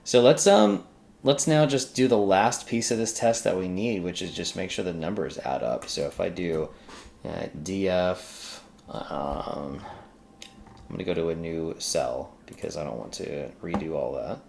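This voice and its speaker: Low gravelly voice, chatty coding tutorial host thinking out loud, bit of noise n typing behind. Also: measured pace. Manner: informal, exclamatory asides to self and software. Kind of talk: casual screen-recorded coding tutorial, thinking aloud. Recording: faint background noise, keyboard typing.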